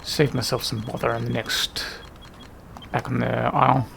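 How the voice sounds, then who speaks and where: Man, creaky gravelly voice, mid pitch, casual game commentary, muttering to himself, faint noise in the recording. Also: level tone, moderate pace, fairly bright tone. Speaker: gaming video host.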